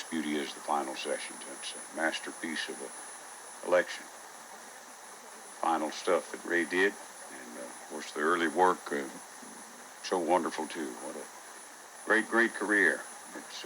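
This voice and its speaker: A man announcing on the radio, chatting in a relaxed way between songs, heard through a small radio speaker. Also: low voice, background noise. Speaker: radio disc jockey.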